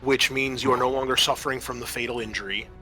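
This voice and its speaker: A man's voice: medium pitch, steady tone, fast, sharp tone, faint music behind. A man acting as game master, narrating and explaining the rules of a tabletop horror roleplaying game in a conversational way.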